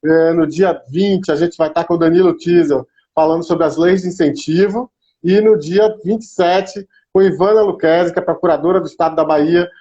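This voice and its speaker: Man, Brazilian accent, slightly high voice, informal live-stream host, welcoming, dull lo-fi audio. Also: darkish tone. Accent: Brazilian Portuguese. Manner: informal and welcoming, greets viewers by name. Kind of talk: casual, chatty live-stream hosting.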